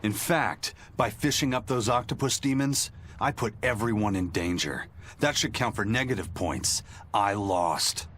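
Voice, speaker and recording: A man's voice: fairly low pitch, measured pace, fairly bright tone, a little hiss or hum. A man playing a stoic, principled, philosophical warrior in a video game. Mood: earnest.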